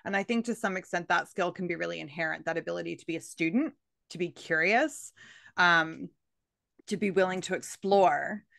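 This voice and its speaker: A woman's voice: on the low side, moderate pace, creaky, a bit bright, dry recording, clean studio sound. A woman in her thirties hosting a podcast interview on a video call from a room with bookshelves, speaking earnestly and with encouragement. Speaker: podcast host, coach for midlife women.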